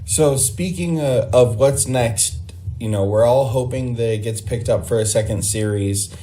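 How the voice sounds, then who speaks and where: An American man hosting a podcast, chatting in a friendly, relaxed way and laughing easily. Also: medium pitch, measured pace, a little hiss or hum. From United States. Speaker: podcast host. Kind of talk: casual interview chat.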